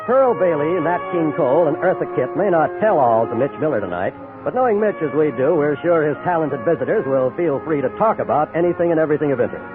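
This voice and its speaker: A man's voice: a little high, expressive, fast, hardly any pauses. A man with an American accent reading scripted credits and announcements as a 1950s radio network announcer. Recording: muffled old radio broadcast, music behind.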